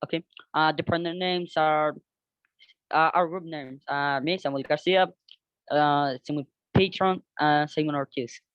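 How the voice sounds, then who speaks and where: Halting man with a Colombian accent. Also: fairly high pitch, fairly even intonation, fairly bright tone, smooth, no echo. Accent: Colombian Spanish. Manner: halting, searches for words, frequent restarts in hesitant English. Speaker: student presenting a class podcast project. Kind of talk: unscripted class presentation. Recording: dull, dry close-mic recording.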